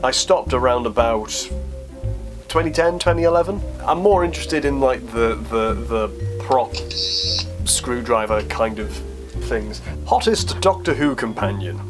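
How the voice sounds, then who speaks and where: A man's voice: medium pitch, animated, measured pace, music in the background. Casual man, wry and self-deprecating. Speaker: YouTube host answering viewer questions. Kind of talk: casual chat to camera, Q&A.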